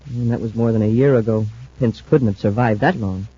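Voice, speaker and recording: An actor playing an eager, earnest young space cadet sidekick, deferential and excitable, in an old-time radio serial. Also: male voice, fairly low pitch, a little fast, slightly rough. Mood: puzzled. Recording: muffled old radio recording.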